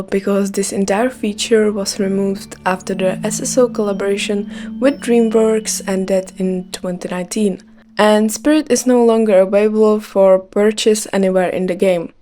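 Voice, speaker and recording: A woman's voice: mid-range voice, normal speed, dark tone. A young Czech woman with a light Czech accent, reading a scripted gaming video with care. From Czech Republic. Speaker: gaming YouTuber.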